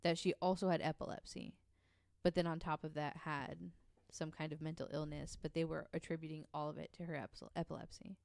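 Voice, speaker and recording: A woman co-host narrates a story to her friends in a relaxed, chatty way, stopping often for an um. Her voice sits low and sounds smooth and clear. The sound is dry and close to the mic. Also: brisk, no echo. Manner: narrates at length with frequent ums, reads quotes aloud. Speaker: podcast co-host telling the story. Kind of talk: casual storytelling chat.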